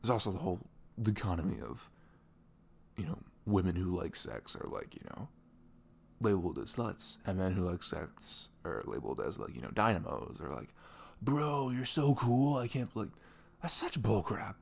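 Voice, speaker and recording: A man acts out a sweet young boyfriend in an intimate audio roleplay. His voice sits on the low side and crackles with heavy fry. He rambles in casual slang, animated and caring, slipping into little acted-out quotes. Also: normal speed, exaggerated voice. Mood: easygoing. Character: sweet, caring, chatty boyfriend on a morning after.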